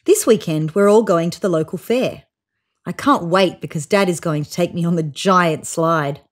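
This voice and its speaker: A woman in her thirties reading a children's picture book aloud in an expressive, child-directed way. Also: lowish voice, normal speed. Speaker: storybook reader. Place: small room indoors, seated on a couch.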